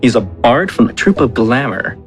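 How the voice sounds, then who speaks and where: A man's voice: mid-range voice, sing-song, normal speed, soft background music. A man chatting casually as a player at a tabletop game.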